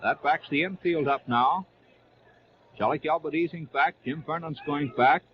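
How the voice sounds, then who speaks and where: High-voiced American man, vintage radio baseball commentary. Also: normal speed. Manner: clipped present-tense phrases, narrating each move. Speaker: radio baseball play-by-play announcer. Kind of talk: 1930s live sports radio commentary. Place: live at a ballpark. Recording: muffled, noisy 1930s AM radio broadcast.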